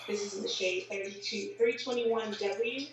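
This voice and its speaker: Slightly raspy woman in an echoey room. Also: mid-range voice, fairly even intonation, normal speed, recorded from a distance, highs cut off. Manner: chatty, filler-heavy, explaining as she works. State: tired voice after a workday. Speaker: makeup vlogger. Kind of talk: casual makeup tutorial. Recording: roomy echo, voice set back from the mic, faint hiss.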